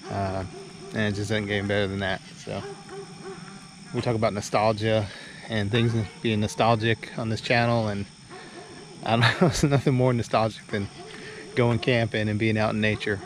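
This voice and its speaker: A man narrating a casual camping vlog outdoors, rambling and hesitant, with easy chuckles. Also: lowish voice. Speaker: channel host. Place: outdoors at a campsite. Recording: outdoor background noise.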